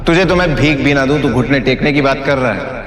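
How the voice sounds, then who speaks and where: A man's voice: mid-range voice, brisk, noisy background, lightly acted. A man playing a defiant, swaggering underdog husband in an audio drama, talking with scorn. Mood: menacing. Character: defiant, cocky underdog husband with secret power. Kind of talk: Hindi audio drama acting.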